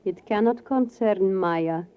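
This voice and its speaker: A woman's voice: medium pitch, natural voice. A woman playing a mysterious, proud European stage clairvoyant, speaking solemnly and formally in 1940s radio drama. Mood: cool, guarded. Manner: solemn, deliberate, formal speech. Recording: muffled 1940s radio broadcast.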